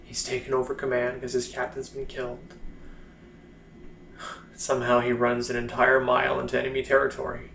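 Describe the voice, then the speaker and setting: A man's voice: medium pitch, rapid-fire, gravelly, hardly any pauses, a little hiss or hum, close to an everyday voice. A man performing a bitter, sardonic blinded First World War soldier in a dramatic stage monologue with pent-up anger. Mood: scornful, sardonic. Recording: roomy echo, faint music.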